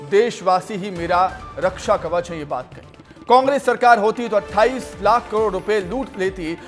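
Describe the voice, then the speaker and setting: A man's voice: high voice, fairly bright tone. A man presents television news in an emphatic, declarative, scripted anchor style.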